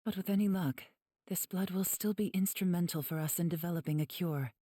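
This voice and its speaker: A woman voicing a calm, gentle, refined demon healer in an anime game, soft and breathy, speaking in a measured, formal way. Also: lowish voice, no echo, studio quality, close to an everyday voice. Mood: solemn, calm.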